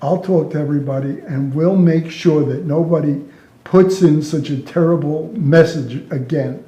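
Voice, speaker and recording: The recording is echoey, and the voice is an older man's, mellow and a little high. He tells work stories casually, like a retired controller, with amusement and quoted back-and-forth. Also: moderate pace. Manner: amused, chuckles through own stories, reenacts dialogue. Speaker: retired air traffic controller telling stories. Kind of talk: casual anecdotal storytelling. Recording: roomy, echoey sound.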